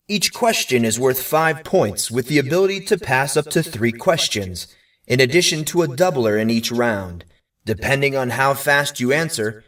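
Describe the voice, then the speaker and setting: A man voicing a showy game show host in audio fiction, with a theatrical, drawn-out announcer delivery. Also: on the high side, fairly bright tone, recorded from a distance.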